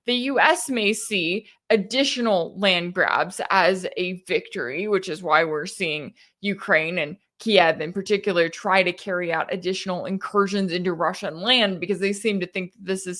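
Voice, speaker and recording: A woman's voice: lowish voice, moderate pace, few pauses, a bit bright. An American woman giving opinionated political commentary as a host, with an emphatic, rhetorical delivery and sarcastic asides. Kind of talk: opinionated news commentary, reading interview quotes and reacting.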